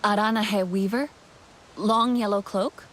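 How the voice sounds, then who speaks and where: An actress playing a calm, helpful young warrior heroine in a game, easygoing, inquisitive and gently teasing. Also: female voice, mid-range voice, a little slow, natural voice. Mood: calm, curious. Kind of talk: naturalistic game dialogue.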